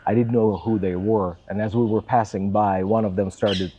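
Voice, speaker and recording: Russian-accented man, earnest and low. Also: fairly even intonation, normal speed, dark tone, husky, lo-fi sound. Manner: earnest, self-deprecating, careful English. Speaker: church assistant and pastor. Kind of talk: interview answers.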